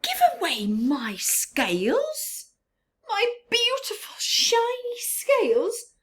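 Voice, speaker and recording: A woman's voice: very high voice, wildly swooping pitch, takes it slowly, voice pushed up, full character voice. A woman voicing a proud, reluctant male rainbow fish with dramatic expression in a children's storybook. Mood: indignant. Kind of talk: children's storybook read-aloud.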